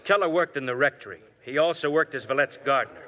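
An actor playing a police inspector in a 1950s radio drama, speaking briskly and giving orders. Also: male voice, medium pitch, sharp tone, lightly acted. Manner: brisk, questioning, commanding. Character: firm, commanding male police inspector.

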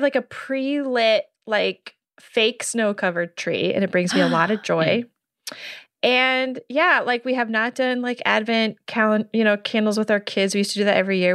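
A woman guest talks casually on a podcast with an average-pitched voice. She is frank and self-deprecating, and the recording is dry, with no audible room. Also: moderate pace, a bit bright, dry recording. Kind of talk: casual chat.

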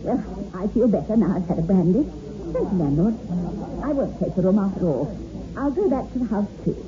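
An actress playing a highly strung young woman in a haunted-house radio drama from the 1960s, halting and dramatic. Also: very animated, natural voice. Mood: calmer, hesitant. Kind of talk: 1960s radio horror acting. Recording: tinny, noisy old radio recording.

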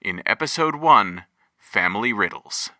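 A man's voice: fairly low pitch, animated, measured pace, heavy background noise. A man announcing an audio drama in a scripted episode introduction. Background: guitar and harmonica music.

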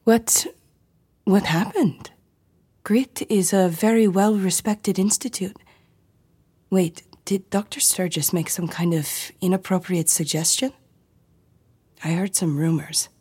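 A gentle French wife in audiobook dialogue, played by a woman narrator. Her voice is very husky and a little low. She speaks softly, with tender concern. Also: fairly even intonation, slow, long pauses, heavily acted. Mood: surprised, worried.

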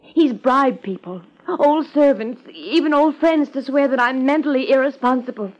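An actress playing a frightened, evasive young heiress in a 1950s radio drama, hesitant and pleading. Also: female voice, high-pitched, normal speed, lightly acted. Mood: anguished. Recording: dull 1950s radio recording.

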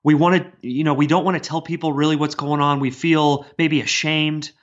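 A man's voice: fairly high pitch, level tone, normal speed. A man speaking earnestly and discursively as a guest author in a casual podcast conversation. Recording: dull, lo-fi sound.

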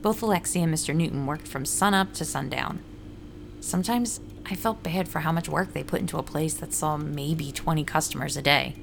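Everyday mother, notably low voice, gentle explanatory delivery, audio drama. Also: brisk, gravelly, slight background noise. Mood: weary narration. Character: apologetic working mother Jess, narrating her day. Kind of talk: scripted audio drama narration and dialogue.

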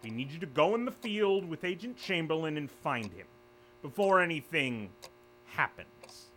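An actor playing a smooth male corporate official who speaks with false politeness and quiet threat. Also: on the high side, big pitch swings, normal speed, a little hiss or hum. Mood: smooth menace. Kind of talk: audio drama acting.

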